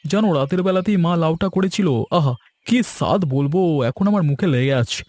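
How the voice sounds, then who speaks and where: A man's voice: sits high, lively intonation, fairly quick, a little mellow, no echo. A man voicing an eager, talkative younger village man in a cartoon, with a pleading manner. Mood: friendly, eager. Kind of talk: cartoon dialogue acting.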